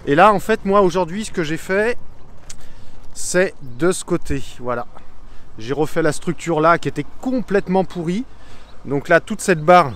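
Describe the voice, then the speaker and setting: A man's voice: on the high side, animated, normal speed, fairly bright tone. A man in his forties, upbeat and enthusiastic, filming himself outdoors in a rural yard and explaining repair work on a bus. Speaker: vlogger doing bus repairs. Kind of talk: casual selfie vlog walkthrough. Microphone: phone camera at arm's length.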